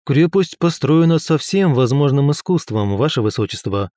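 A man's voice: mid-range voice, lively intonation, moderate pace, mellow, no echo, studio quality, close to an everyday voice. A male audiobook reader voicing an eager, helpful young courtier who speaks up readily. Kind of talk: audiobook character voice.

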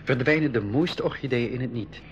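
A man's voice: mid-range voice, a little fast, close to an everyday voice. A man playing an eager, polite young boy in a 1980s educational radio play, speaking expressively. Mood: enthusiastic. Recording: slightly dull, noisy 1980s radio recording.

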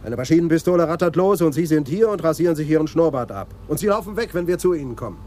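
A man's voice: fairly high pitch, animated, quick pace, a little mellow, lightly acted. A man playing a stern, methodical police adjutant in a radio crime drama, firm and matter-of-fact. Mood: exasperated, official. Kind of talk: 1980s German radio play acting.

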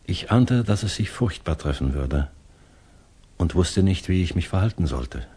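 An actor playing a reserved, pedantic English gentleman teacher in a 1960s German radio drama, subdued, formal and a little hesitant. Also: sits low, expressive, a little slow, slightly rough, heavily acted. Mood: sombre.

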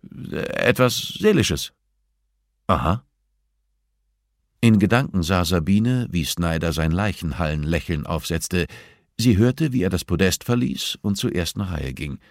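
Deep-voiced male audiobook narrator. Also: expressive, moderate pace, vocal fry, no echo, studio quality. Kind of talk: scripted narration of a novel.

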